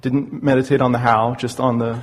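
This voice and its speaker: A man asking an earnest personal question as an audience member, speaking in a hesitant, halting way. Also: mid-range voice, flat delivery, husky.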